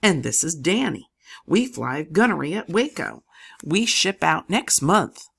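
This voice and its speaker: A woman's voice: fairly low pitch, sing-song, unhurried, fairly bright tone, voice pushed up, full character voice. A woman voicing an eager young male airman who makes every line sound like an exclamation. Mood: excited.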